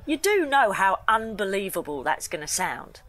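A woman's voice: medium pitch, big pitch swings, normal speed, a bit bright. A woman playing a cheeky, slightly dim young crook's sidekick in an audio comedy, flippant and colloquial. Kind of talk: audio comedy drama acting.

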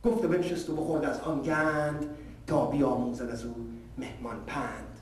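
A man reciting a narrative poem in a measured, declamatory way, verse by verse. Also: mid-range voice, steady tone. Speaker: poetry reciter. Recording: distant, echoey hall, faint noise.

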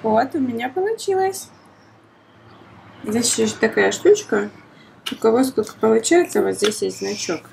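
A woman's voice: on the high side, lively intonation, normal speed, creaky, long pauses, a little mellow, slight background noise, low-quality recording. A woman chatting playfully to a child as she demonstrates a toy, full of exclamations, oohs and gasps. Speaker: toy review host, mother playing with her child.

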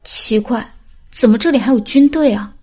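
A woman's voice: a little high, moderate pace, mellow, slightly rough. A woman voicing a curious, naive, impulsive young princess in an audiobook, full of questions. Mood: puzzled.

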